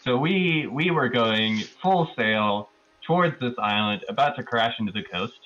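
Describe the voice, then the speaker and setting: A man's voice: medium pitch, lively intonation, unhurried, fairly bright tone, highs cut off. A man giving a casual, rambling and amused recap of a tabletop roleplaying session as one of the players.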